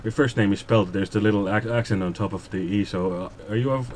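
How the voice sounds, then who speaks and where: Man, radio interviewer, low pitch, hesitant, laughs often, light background noise. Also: fast talker. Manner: chuckling, hesitant questions.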